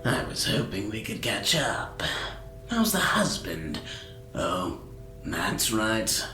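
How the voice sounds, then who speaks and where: A woman playing a taunting, vengeful demon possessing a young woman in an audio drama, with breathy whispers that break out into mocking, theatrical menace. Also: very deep voice, very animated, slow pace, sharp tone, echoey room, soft background music, heavily acted.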